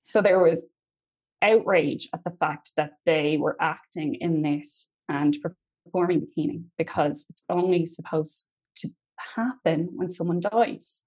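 A young Irish woman with a slightly low voice tells family tales in a relaxed, chatty way. Her volume swells and drops as she talks, and she laughs easily between thoughts. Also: measured pace, low-quality recording. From Ireland. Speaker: guest. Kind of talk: casual storytelling conversation.

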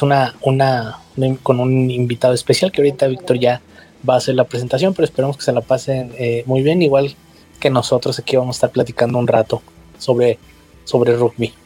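A man's voice: mid-range voice, darkish tone, slightly rough, low-quality recording. A man co-hosting a podcast from Mexico, speaking hesitantly with many fillers. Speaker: podcast co-host. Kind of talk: casual podcast chat.

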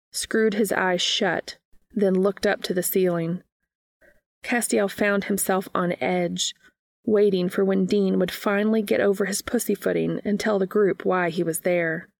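A woman's voice: medium pitch, vocal fry, a little mellow, dry recording. A woman hosting a podcast and reading fan fiction aloud as scripted, audiobook-style narration. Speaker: podcast host and reader.

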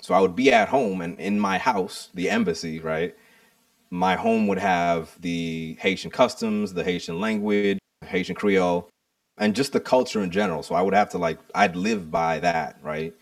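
A man's voice: low-pitched, animated, normal speed, vocal fry, no room sound, far from the mic. A man guesting on a podcast, talking reflectively and explaining himself with metaphors, often checking in with "right?". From United States. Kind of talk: casual interview conversation.

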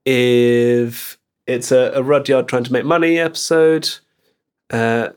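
Young adult man from Belgium, actor guest; animated storyteller, mid-pitched voice. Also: slow pace, clean tone. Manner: animated storyteller, laughs easily. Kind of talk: casual interview chat, anecdotes.

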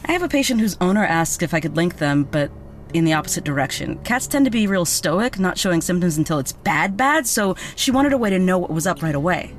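A woman playing a chatty, excitable young veterinarian with magic, in a naturalistic audio drama. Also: lowish voice, fairly quick, a little hiss or hum, lightly acted. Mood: frank, thoughtful. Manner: chatty, excitable, casual swearing. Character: bubbly, frank young woman vet with magic.

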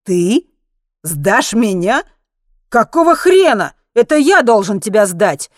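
A woman voicing an irritable, grudging man with a gruff, snappish manner in an audiobook. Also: lowish voice, expressive, moderate pace, no echo, clean studio sound, close to an everyday voice. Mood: furious. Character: irritable, foul-mouthed man, exasperated and grudging. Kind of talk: acted audiobook dialogue.

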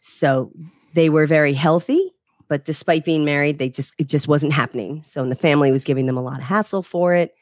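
A woman with a notably low voice reads a news item aloud for a podcast and breaks into amused giggles as she goes. The sound is dry and up close. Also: fairly even intonation, a little fast, a little mellow, no echo. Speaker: podcast co-host. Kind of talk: reading a news story aloud with commentary.

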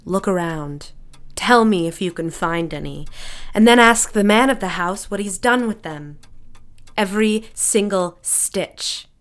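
A woman's voice: mid-range voice, measured pace, lightly acted. A woman playing a bitter, forgetful bedridden housewife in an audio drama, sardonic and imperious, with a drawling delivery.

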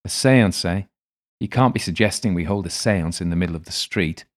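A man's voice: deep voice, a little fast, close to an everyday voice. A man voicing a prickly, mocking fellow player who needles others with sarcastic remarks. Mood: needling. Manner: sardonic and needling.

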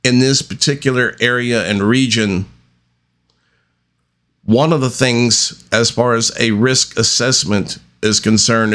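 Guy doing a solo podcast, talks really slow and halting, lots of long pauses, medium pitch, unscripted. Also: a bit bright. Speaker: podcast host.

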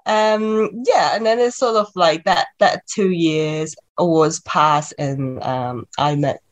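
A woman's voice: deep voice, slow. A woman in her thirties from Hong Kong with a Hong Kong accent, a chatty podcast guest who talks with many fillers and restarts. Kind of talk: podcast interview.

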